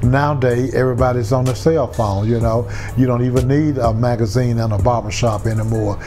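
Older man with a mellow voice. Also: mid-range voice, fairly even intonation, a little fast. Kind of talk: reminiscing documentary interview. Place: indoors in a barbershop. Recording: faint music behind.

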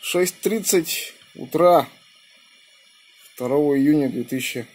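A man with a fairly high, husky voice works as an amateur radio operator. He talks casually and colloquially, slipping between slang and spelled-out call signs. He is recorded on a phone in a small room. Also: slow pace, darkish tone. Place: small room indoors beside radio equipment. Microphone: phone held near the radio.

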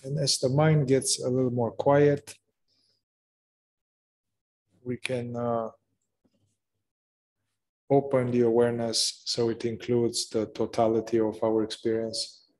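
A man leading a guided meditation, speaking gently in short phrases with long pauses between them. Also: mid-range voice, flat delivery. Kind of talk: slow guided meditation narration.

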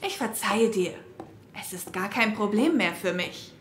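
A woman's voice: medium pitch, animated, measured pace, natural voice. A woman playing a vengeful girlfriend in a horror audio drama, taunting and gloating with false sweetness. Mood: falsely sweet. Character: vengeful young girlfriend, mockingly sweet and cruel. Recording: echoey room, faint background noise.

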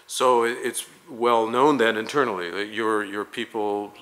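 Polished male interviewer, bright voice. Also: on the low side, steady tone. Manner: polished, leading questions, measured delivery. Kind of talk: promotional interview.

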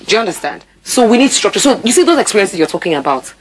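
A woman's voice: mid-range voice, big pitch swings, racing, a little hiss or hum. A Nigerian-accented woman in her thirties speaking as an interview guest, emphatic and repeating words to drive points home. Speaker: guest, actor and theatre producer. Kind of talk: broadcast interview conversation. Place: indoor TV studio. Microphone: lapel mic.

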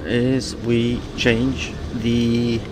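A man's voice: medium pitch, completely flat delivery, extremely slow, background noise. An older man with a German accent casually explaining boat work, searching for words in English. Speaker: sailing vlogger.

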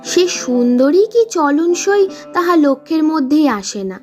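A woman reading literary fiction aloud in a measured, expressive storytelling manner. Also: sits high, soft background music. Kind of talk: scripted literary narration.

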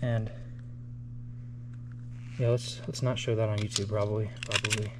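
A man exploring an abandoned building, giving low-key running commentary into a body-worn camera as he walks. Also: little pitch movement, moderate pace, very noisy. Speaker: urban explorer. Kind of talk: walkthrough narration while exploring. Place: outdoors then inside a large empty building. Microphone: body-worn action camera.

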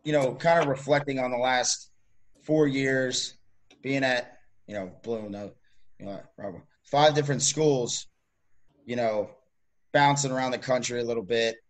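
A man's voice: mid-range voice, a little slow. Upbeat, rambling man in his twenties. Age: 20s. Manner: upbeat, rambling, many fillers. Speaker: podcast co-host, college strength coach. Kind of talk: casual chat.

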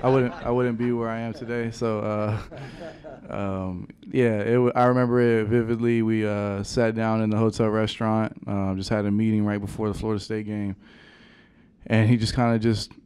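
A young man, a college basketball player, answering questions at a post-game press conference in a halting, soft-spoken way with many fillers, into a table microphone. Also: fairly low pitch, little pitch movement. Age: young adult. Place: press conference room with sponsor backdrop.

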